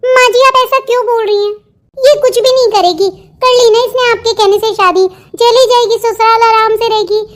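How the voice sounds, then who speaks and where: An extremely high voice from a woman plays a young sister-in-law and confidante. She soothes and coaxes with a scheming streak, in the heightened style of a cartoon family drama. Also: fairly even intonation, normal speed. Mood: placating. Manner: coaxing, placating. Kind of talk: melodramatic Hindi cartoon dialogue.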